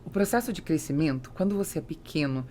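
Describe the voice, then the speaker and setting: Podcast host: Brazilian woman, slightly low voice, conversational interviewing style, quick to affirm her guest. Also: lively intonation, mellow, a little hiss or hum. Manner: affirming, interjecting short agreements.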